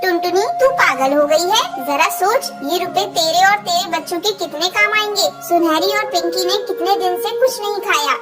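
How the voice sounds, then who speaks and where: A woman's voice: extremely high pitch, moderate pace, hardly any pauses, over loud music, pitched below the performer's own voice. A woman voicing a greedy, scheming female beggar bird in a cartoon story, bossy and scornful. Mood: goading, persuasive.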